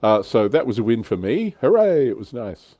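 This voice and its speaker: A man's voice: medium pitch, very animated, moderate pace, warm tone, no echo. A man chatting casually as he recounts tabletop wargame battles, somewhat hesitant as he pieces his thoughts together.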